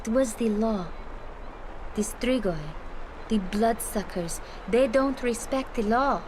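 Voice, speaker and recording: A woman's voice: fairly high pitch, takes it slowly, noisy background. A woman playing a kind, chatty, earthy tavern hostess in a video game, with a coaxing, affectionate, folksy manner. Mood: wistful, tender. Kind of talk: video game cutscene acting.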